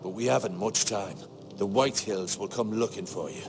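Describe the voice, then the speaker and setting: An actor playing a prudent older castle steward, loyal and grave, speaking in a measured, earnest, formal way in a fantasy game drama. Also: male voice, lowish voice, over music, natural voice. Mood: solemn, consoling.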